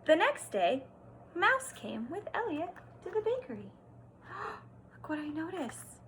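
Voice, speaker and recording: A woman teacher doing an expressive read-aloud for young children, stressing words and pausing to explain and ask simple questions. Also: very high voice, a little hiss or hum. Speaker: kindergarten teacher.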